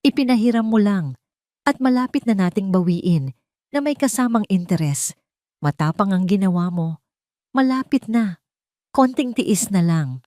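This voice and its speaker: A woman's voice: mid-range voice, expressive, a little slow, long pauses, darkish tone, no room sound, clean studio sound, lightly acted. A Filipino woman voicing an alert, firm and encouraging male confidant in a romance audio drama. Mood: firm, reassuring.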